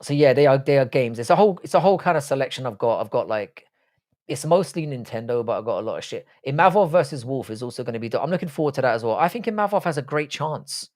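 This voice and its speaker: A man's voice: mid-range voice, expressive, quick pace, a little mellow. A man in his forties with a South London accent, hosting a casual live stream, rambling, swearing freely and answering viewers' chat. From South London, England. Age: 40s.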